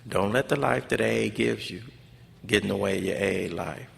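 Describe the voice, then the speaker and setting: Convention speaker: American man, low gravelly voice, steady narrow range, preacher-like repetition, recorded in a reverberant hall. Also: brisk. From Los Angeles, United States. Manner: emphatic, repeats phrases for effect, colloquial grammar. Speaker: speaker at a recovery fellowship convention. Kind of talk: personal testimony speech, preacher-like. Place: large conference hall. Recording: echoey hall PA with background noise.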